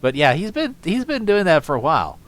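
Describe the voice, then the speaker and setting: A man's voice: a little high, huge pitch swings, brisk, slight background noise. A man giving sardonic reaction commentary, mocking with frequent chuckles and trailing off into fillers. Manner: sardonic, mocking, laughs often. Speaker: commentary host.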